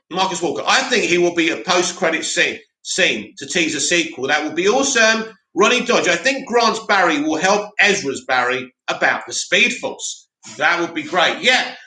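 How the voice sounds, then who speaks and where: Man, live stream host, opinionated, loud, echoey room. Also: a little high. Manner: loud and opinionated, many restarts. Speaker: live stream host reading viewer comments. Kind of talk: casual live chat.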